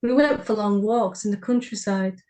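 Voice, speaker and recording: A woman's voice: medium pitch, moderate pace, dark tone. A woman co-hosting a writers' podcast, reading short fiction aloud. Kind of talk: story reading.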